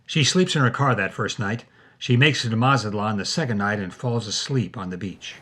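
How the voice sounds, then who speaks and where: A man's voice: on the low side, moderate pace, a bit bright. A man hosting and narrating a scripted podcast as dramatic storytelling. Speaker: host and narrator.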